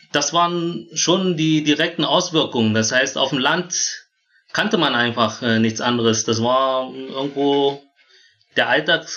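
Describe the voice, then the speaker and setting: Bright-voiced man in his thirties, halting delivery. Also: mid-range voice, muffled recording. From born in Vietnam, grew up in Germany. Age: 30s. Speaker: interview guest. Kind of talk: personal storytelling in a conversational interview.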